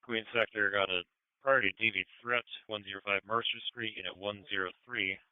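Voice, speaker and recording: American guy dispatching cops on police radio, smooth low voice, thin scanner sound. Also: steady tone, fairly quick, no echo. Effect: police radio transmission. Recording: narrow-band police scanner radio audio.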